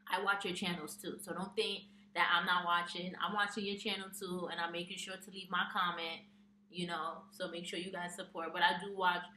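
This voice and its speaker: A woman's voice: fairly low pitch, sharp tone. A young woman chatting casually in a tag video, reading questions from her phone and answering with plenty of ums. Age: young adult. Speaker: vlogger. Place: small room with a plain wall, indoors. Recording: echoey room, distant mic, faint hum.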